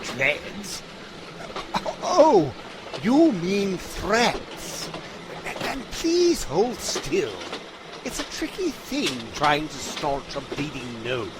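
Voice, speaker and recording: A man's voice: sits high, huge pitch swings, drawn-out words, noisy background, full character voice. A man voicing an eccentric, showy detective in a comedic audio drama, speaking in a plummy, theatrical manner. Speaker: voice actor in a fan audio drama.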